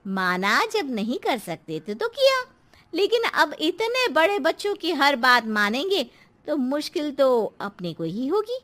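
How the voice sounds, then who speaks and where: A woman's voice: high-pitched, sing-song, unhurried, a bit bright, full character voice. A woman playing a bossy, outspoken neighbour in a dramatised story, bluntly handing out advice. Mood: chiding. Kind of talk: dramatised Hindi moral story.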